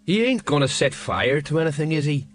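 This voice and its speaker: A man plays a worried middle-aged sergeant in a comic audiobook. He sounds hesitant and deferential, with a slightly high, animated voice that pleads his case. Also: normal speed, soft background music. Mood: anxious, flustered.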